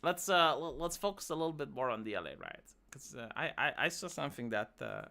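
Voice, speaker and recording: Bright, lively Romanian-accented woman streamer. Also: rumbling low, brisk, gravelly. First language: not English. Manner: profane, animated ranting with many fillers and restarts. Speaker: live streamer. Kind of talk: ranting live-stream commentary.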